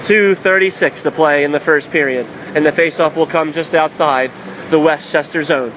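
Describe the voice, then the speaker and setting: A man doing live radio play-by-play of a hockey game in clipped, excitable calls. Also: fairly high pitch, normal speed. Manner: excitable on shots, clipped present-tense calls. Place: indoor ice hockey arena. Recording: loud rink noise behind the voice.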